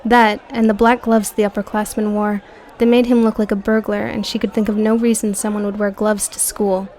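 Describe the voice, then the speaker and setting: A woman's voice: medium pitch, level tone, fairly quick, a little mellow. A woman reading scripted audio book narration as the narrator.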